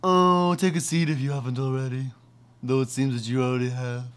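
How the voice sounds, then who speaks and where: A man's voice: mid-range voice, level tone, brisk, fairly bright tone, clear, resonant tone, natural voice. A man playing a quirky old-sea-dog smuggler host. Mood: welcoming. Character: eccentric male boat captain, cocaine smuggler, hospitable.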